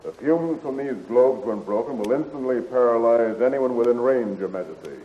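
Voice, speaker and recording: Guy acting as respectful male henchman, 1930s radio serial, medium pitch, dull muffled old recording. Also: normal speed, lightly acted. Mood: solemn. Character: deferential male palace underling, plainly reporting to superiors.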